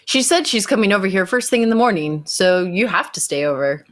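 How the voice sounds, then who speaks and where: A woman's voice: medium pitch, lively intonation, fairly quick, close to an everyday voice. A woman playing an easygoing young man, a former pilot and brother, in a sci-fi radio play. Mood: easygoing, persuasive.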